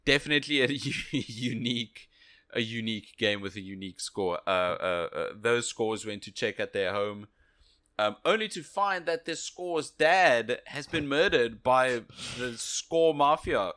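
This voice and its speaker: A man co-hosting a casual game review podcast, speaking in a halting, jokey way with frequent repeated phrases. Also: mid-range voice, lively intonation, a bit bright. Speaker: podcast co-host.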